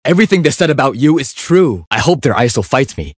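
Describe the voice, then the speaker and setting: An actor playing a calm, focused young Chinese assassin in a video game, cool, clipped and politely deadly. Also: male voice, mid-range voice, fast, slightly rough, no echo, studio quality. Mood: calm, friendly. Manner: cool, understated, clipped delivery. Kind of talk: video game character voice lines.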